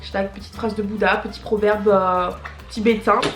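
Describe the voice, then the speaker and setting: A seventeen-year-old girl chatting casually through a birthday haul, bubbly and slangy, with bursts of excitement. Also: medium pitch, moderate pace, echoey room, soft background music. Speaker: vlogger. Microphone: camera mic.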